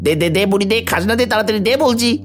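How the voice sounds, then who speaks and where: A man playing a bullying rent-collecting henchman in an animated folk tale, threatening and impatient. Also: high-pitched, very fast, soft background music, natural voice.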